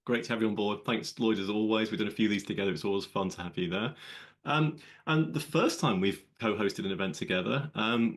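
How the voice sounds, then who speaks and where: A man hosting a live webinar in an upbeat, chatty, welcoming way. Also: on the low side. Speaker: webinar host.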